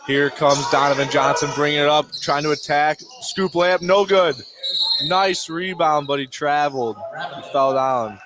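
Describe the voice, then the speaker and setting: Higher-voiced man calling basketball over gym noise. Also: unhurried. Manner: clipped phrases, excited calls on big plays. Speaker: play-by-play basketball announcer. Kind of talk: live sports radio commentary. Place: indoor basketball gymnasium. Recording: noisy gym ambience. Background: gym noise, bouncing basketball.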